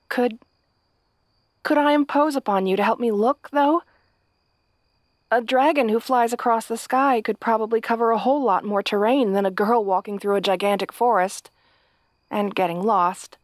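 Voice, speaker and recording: A woman's voice: fairly high pitch, measured pace, pauses often, dry recording, clean studio sound, close to an everyday voice. A woman playing a kind, naive young princess in a fantasy audio roleplay, speaking politely and hesitantly to the listener. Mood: earnest explaining. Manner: hesitant, polite, rambling earnest explanations.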